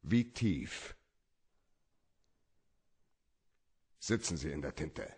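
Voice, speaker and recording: Husky male detective, radio drama, hard-boiled, cynical, terse, swinging intonation. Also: a bass voice, expressive, unhurried, darkish tone, exaggerated voice. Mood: probing, sardonic. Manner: terse, dry, probing. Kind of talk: German radio play acting.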